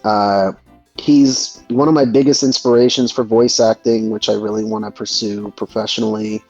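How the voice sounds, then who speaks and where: A man chatting casually as a discussion guest, thinking aloud in a halting way. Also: fairly low pitch, steady tone, darkish tone, lo-fi sound, faint music behind. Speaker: guest in a gaming discussion, aspiring voice actor. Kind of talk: casual chat.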